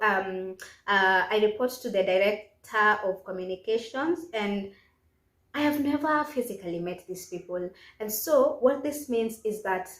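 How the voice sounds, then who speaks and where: A woman with a Kenyan accent giving casual, instructional career advice as a video host, stressing her points emphatically. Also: medium pitch. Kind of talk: casual instructional vlog talk. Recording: echoey, roomy sound.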